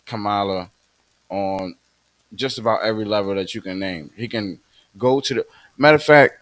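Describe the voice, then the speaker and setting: Low-voiced man, dry close sound. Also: fairly bright tone, no echo, rough recording. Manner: halting, many fillers and restarts. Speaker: podcast co-host. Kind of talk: casual podcast chat.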